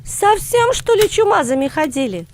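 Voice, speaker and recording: Woman voicing an eager, high-pitched young schoolboy. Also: sing-song, normal speed, a little mellow, full character voice. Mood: surprised, cheeky. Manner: eager, questioning, colloquial. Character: curious young schoolboy grandson, lively and cheeky.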